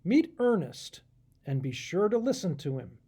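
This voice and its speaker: A wise and kindly storybook king, read by a man. His voice is mellow and slightly husky, and he gives guidance in a measured, instructive manner. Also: sits high, sing-song, exaggerated voice. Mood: kindly guiding.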